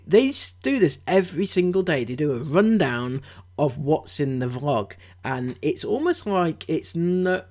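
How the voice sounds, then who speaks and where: A man's voice: on the high side, a little mellow. A man with a cold, giving a mocking, chatty commentary as a vlogger, full of asides and fillers. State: has a cold. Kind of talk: sarcastic commentary.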